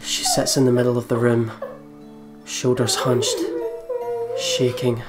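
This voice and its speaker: A let's play host reading visual novel narration aloud in a dramatic, deliberate way. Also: medium pitch, fairly even intonation. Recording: music behind the voice. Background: game music.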